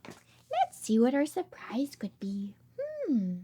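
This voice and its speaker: A woman's voice: a little high, huge pitch swings, slow pace, mellow, husky. A woman reading aloud to babies and toddlers in an exaggerated child-directed way, with simple repeated phrases and questions. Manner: exaggerated child-directed delivery with dramatic gasps and whispers. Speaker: children's read-aloud host.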